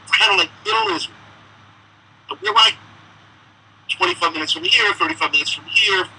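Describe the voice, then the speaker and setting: A man answering interview questions in a chatty, halting way, full of restarts. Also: high-pitched, big pitch swings, creaky, sharp tone, husky, rough recording. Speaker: musician guest. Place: Israel.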